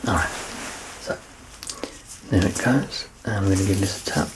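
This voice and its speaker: A man in his thirties doing an ASMR medical exam roleplay as a doctor, soft-spoken, reassuring and explanatory. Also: low-pitched, normal speed, noisy background. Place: small curtained indoor room.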